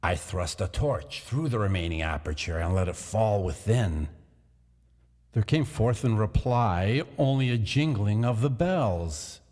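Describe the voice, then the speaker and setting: A man reading a gothic horror story aloud in a slow, deliberate, theatrical manner, heard in a long echoing hall. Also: lowish voice, lively intonation, a little mellow. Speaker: reader of a gothic tale. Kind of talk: dramatic literary narration.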